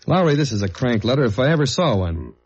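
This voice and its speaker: An actor playing a dogged American government investigator in a 1950s radio detective drama, dry and understated. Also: male voice, mid-range voice, very animated, fast talker, breathy edge. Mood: businesslike. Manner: dry, understated, hard-boiled. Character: tall, dogged government investigator narrating his case journal. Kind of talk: 1950s radio detective drama, first-person narration and dialogue. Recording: muffled old radio recording with music.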